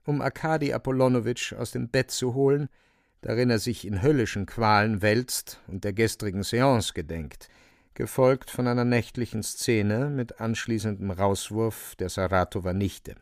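A male narrator with a lowish voice reads literary radio drama. His phrasing is theatrical and emphatic, and the sound is dry and close. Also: moderate pace, dry recording.

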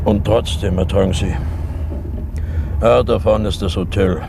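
A man's voice: on the low side, steady tone, unhurried, vocal fry. A man acting a sombre, considerate police official in a radio drama, speaking formally and with some reserve. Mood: matter-of-fact. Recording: loud vehicle and ambient noise.

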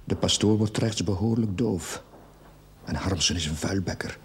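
An actor playing a troubled, defensive village curate in a television drama, speaking tersely and hushed with a Dutch accent. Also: male voice, sits low, moderate pace, slight background noise, lightly acted. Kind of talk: television period drama acting. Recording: loud music over television soundtrack.

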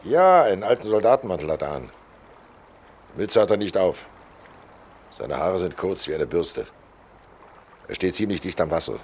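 An actor playing Death as a fat, cynical undertaker with a sardonic, matter-of-fact manner, in a 1940s German radio play. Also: male voice, deep voice, sing-song, normal speed, pauses often, darkish tone, a little hiss or hum. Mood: watchful, musing. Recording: old 1940s radio recording.

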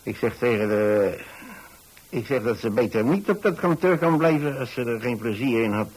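A kindly older man, a retired landlord in a radio drama. His voice sits at a medium pitch and has some huskiness. He tells his tale in a folksy, halting, confiding manner. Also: lively intonation, a little mellow, breathy edge, rough recording. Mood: chatty, fond. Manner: hesitant, folksy, chatty, with small asides. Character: kindly retired landlord telling about his young lodger. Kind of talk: conversational radio drama monologue in Dutch.

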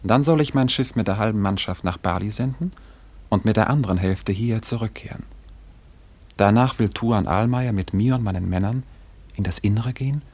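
Husky, wary ship's captain. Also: male voice, lowish voice, moderate pace, a little mellow. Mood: wary, doubtful. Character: wary male ship's captain weighing a deal. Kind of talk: radio drama acting.

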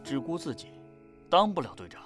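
A man's voice: on the high side, big pitch swings, brisk, over loud music. A man playing a furious, foul-mouthed boy-band manager who berates his members sarcastically in an audio drama. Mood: furious, scolding.

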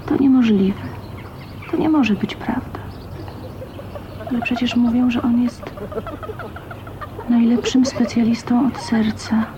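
An actress playing a shy, downtrodden spinster daughter of twenty-nine with quiet rebellion in her, in a hushed, introspective radio drama manner. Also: on the high side, steady tone, slow pace, long pauses. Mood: stunned, sorrowful. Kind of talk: Polish radio drama acting. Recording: muffled, noisy late-1980s radio drama recording.

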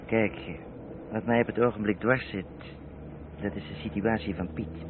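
A man's voice: on the low side, fairly even intonation, normal speed, natural voice. A man playing a practical, decisive haulage boss in a radio play, firm and good-natured. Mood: businesslike. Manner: firm, matter-of-fact. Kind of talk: Dutch radio play acting. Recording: muffled, hissy old radio recording.

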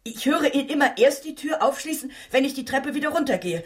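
A woman's voice: sits high, expressive, fairly quick. An actress playing an anxious, obliging landlady in a German radio drama, polite and hesitant, repeating herself. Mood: worried, uncertain. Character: fussy, anxious, obliging female London landlady.

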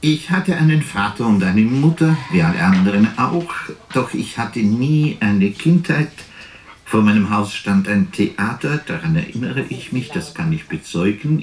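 Low-voiced, brooding poet. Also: male voice, breathy edge, exaggerated voice. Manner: halting, theatrical monologue with deliberate pauses. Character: actor playing a melancholy poet, brooding and self-doubting. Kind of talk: radio drama monologue.

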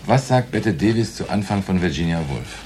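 Veteran cop, lowish voice that swings around, terse and skeptical, faint noise, radio play. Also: male voice, lively intonation, fairly quick, a little mellow. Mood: curious, dry. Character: veteran police detective, blunt, skeptical, decent. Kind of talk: German radio crime drama acting.

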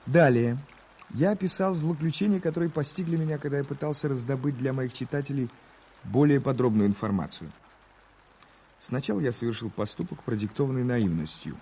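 An actor playing a curious, ironic journalist in a 1980s Soviet radio play, telling things in an emphatic, declamatory manner. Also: male voice, mid-range voice, brisk, warm tone, breathy edge. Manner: theatrical, declamatory, emphatic storytelling. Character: Mr March, curious, ironic gentleman journalist, eager raconteur. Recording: hissy old radio drama tape.

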